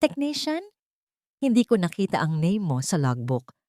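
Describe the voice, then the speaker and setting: A woman's voice: on the low side, very animated, normal speed, dark tone, no echo, studio quality. A woman voicing a suspicious, demanding woman in an audiobook, questioning sharply with a Filipino accent. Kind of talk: dramatic audiobook character dialogue.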